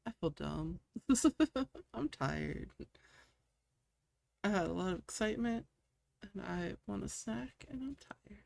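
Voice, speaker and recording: A woman's voice: medium pitch, lively intonation, slow, vocal fry, long pauses, a little mellow, dry recording. A woman game streamer chatting casually and playfully to herself in a rambling way. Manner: rambling, playful self-talk. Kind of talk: casual gameplay chat.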